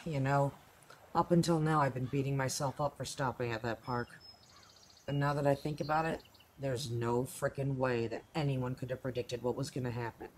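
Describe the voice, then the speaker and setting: A man's voice: mid-range voice, fairly even intonation, normal speed, a little hiss or hum, close to an everyday voice. A man playing a hot-headed, bitter teenage boy in an amateur radio play, with an informal, grumbling manner. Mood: rueful, bitter. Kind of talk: amateur radio play acting, first-person narration.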